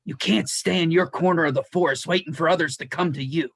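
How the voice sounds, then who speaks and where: A man playing a blunt, fatherly talking teddy bear in a play reading, with a gravelly, husky voice. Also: fairly high pitch, fairly quick, fairly bright tone. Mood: gently encouraging. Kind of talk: dramatized play script reading.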